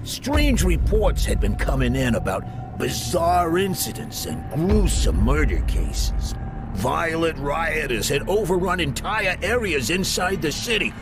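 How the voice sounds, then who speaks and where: A man voicing a cocky, wisecracking mob enforcer in a video game, with a gravelly New York Italian-American accent and slangy hard-boiled delivery. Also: fairly high pitch, very animated, measured pace, over music. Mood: wry, hard-boiled.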